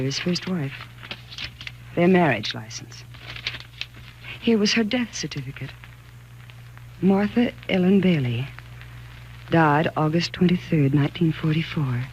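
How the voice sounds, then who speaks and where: An actress in a 1940s radio thriller playing a frightened, anxious wife, with a dramatic, halting delivery. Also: female voice, sits low, lively intonation, dull audio. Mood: mounting dread. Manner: dramatic, halting and stammering when afraid. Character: frightened, anxious wife narrating her growing dread. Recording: noisy old 1940s radio recording.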